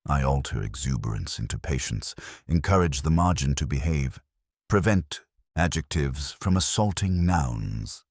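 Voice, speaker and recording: Guy doing a prim bossy older widow in a radio mystery, formal n precise, super deep voice, pitch moves around. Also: animated, measured pace, husky, no echo, studio quality, full character voice. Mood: precise, lofty. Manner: precise, formal, aphoristic, self-possessed. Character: prim, imperious older widow of an English town.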